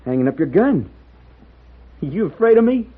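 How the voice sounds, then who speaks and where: Casting: hot-tempered young cowboy, raspy, swinging pitch, challenging manner, tinny vintage radio western. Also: male voice, sing-song, moderate pace, exaggerated voice. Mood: threatening. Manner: blustering, challenging. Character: hot-headed, jealous young male gunslinger. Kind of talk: 1950s radio western acting. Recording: tinny, band-limited old radio recording.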